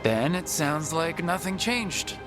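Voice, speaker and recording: A man playing a steady, encouraging adventurer in a video game, speaking plainly. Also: fairly high pitch, slow pace, over music. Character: steady, plain-spoken male adventurer leading companions.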